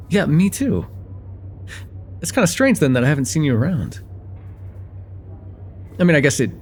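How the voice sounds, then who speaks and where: A man playing a friendly young college guy, lively pitch swings. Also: gravelly, slight background noise. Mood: curious, playful. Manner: casual, hesitant, teasing, with soft chuckles and hums. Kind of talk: slice-of-life ASMR roleplay, one-sided conversation with the listener.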